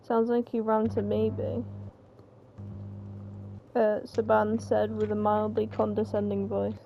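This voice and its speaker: A woman with a creaky voice reading a story script aloud informally, stumbling a little over the lines. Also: medium pitch, monotone, takes it slowly. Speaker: reader of the narration. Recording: slightly muffled mic, faint background noise.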